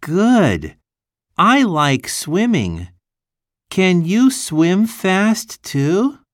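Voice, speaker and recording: A friendly young schoolboy from Japan, read by a woman in a low voice, speaking in a lively up-and-down tone. Every word is spelled out at a very slow, deliberate pace for a listening test. The sound is dry and close. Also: no room sound, full character voice. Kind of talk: slow, carefully enunciated test dialogue.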